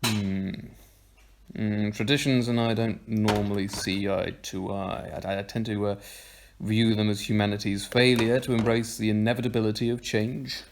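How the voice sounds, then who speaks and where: A man's voice: fairly low pitch, flat delivery, moderate pace, close to an everyday voice. Man playing a flippant young man, noisy recording. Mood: blunt, wry. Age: young adult. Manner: hesitant, wordy, wry. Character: flippant, blunt young man, reluctant new club member. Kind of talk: audio drama acting. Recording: clearly noisy home recording with clicks.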